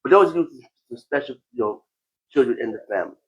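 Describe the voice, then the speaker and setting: A man hosting a podcast in a casual conversational style, animated, often repeating phrases and breaking easily into laughter. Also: mid-range voice, fast talker, dull audio. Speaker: podcast host.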